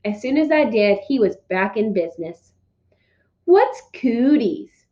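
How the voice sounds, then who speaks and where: A woman teacher giving instructions and reading aloud to pupils with emphatic, deliberate phrasing. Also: mid-range voice, expressive, muffled recording. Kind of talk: instructional read-aloud, scripted narration.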